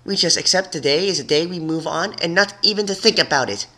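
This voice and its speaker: A performer voicing a grumpy, menacing female cartoon pony, curt and irritated, sometimes flatly bored. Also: medium pitch, fast talker, pitched above the performer's own voice. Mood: dismissive. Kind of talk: cartoon character voice in fan fiction reading.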